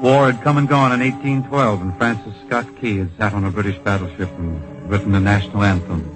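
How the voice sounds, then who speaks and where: A man serves as a solemn storyteller in old-time radio drama. His fairly deep voice is grave, measured and poetic, carried over prominent music. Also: steady tone, lightly acted, rough recording. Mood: stirring, patriotic. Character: solemn storyteller, compassionate and patriotic. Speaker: narrator.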